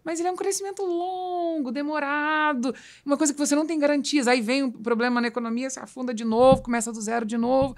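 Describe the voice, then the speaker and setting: A woman's voice: high voice, fairly even intonation, fast talker, hardly any pauses. A Brazilian woman hosting a podcast, talking conversationally about business and explaining with anecdotes and emphatic repetition. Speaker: podcast host.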